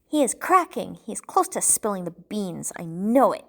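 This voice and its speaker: Woman acting male bartender, menacing, exasperated, deliberate threatening delivery, audiobook thriller interrogator. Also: medium pitch, very animated, creaky. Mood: commanding.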